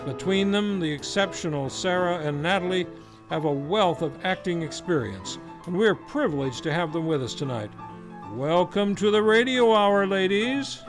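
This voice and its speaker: Guy hosting an old time radio hour, kinda high voice, guitar playing behind him. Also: lively intonation. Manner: announcer-like, formal and measured. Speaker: radio play host. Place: local community theater stage. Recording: live show with guitar music behind.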